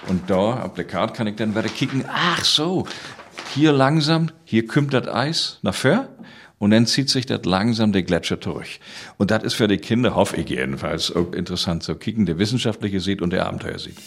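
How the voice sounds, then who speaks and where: A man from Rügen with a northern German accent, casually telling stories in Low German as an interview guest. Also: expressive, moderate pace, gravelly. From island of Rügen, Germany.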